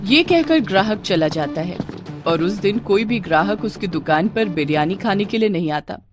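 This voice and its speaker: A woman's voice: mid-range voice, expressive, normal speed, music in the background. A woman narrating a scripted moral tale as a storyteller.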